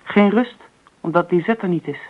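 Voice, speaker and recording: A woman's voice: fairly low pitch, moderate pace, lightly acted. An actress playing an insistent, coaxing woman in a Dutch radio play. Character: insistent, coaxing woman pleading with a sullen companion. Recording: tinny, phone-like old radio recording.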